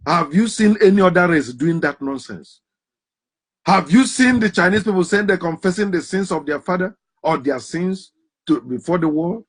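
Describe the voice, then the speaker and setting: A man giving a forceful, preaching monologue full of rhetorical questions, his loudness rising and falling sharply. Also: high voice, animated, normal speed, slightly rough. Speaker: commentator.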